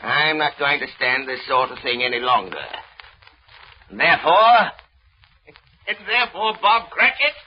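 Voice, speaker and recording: A man's voice: a little high, expressive, a little slow, pauses often, dull audio, voice pushed up. A man playing a blustering old miser employer in a theatrical 1930s radio drama. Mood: mock stern. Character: old male miser employer, newly reformed, mock-stern then gleeful. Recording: scratchy, band-limited 1930s radio recording.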